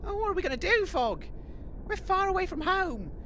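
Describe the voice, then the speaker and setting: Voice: extremely high pitch, sing-song, normal speed, sharp tone, slight background noise, full character voice. A performer playing a dim, hapless male DJ in a comedy audio drama, whiny and hesitant. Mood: mournful.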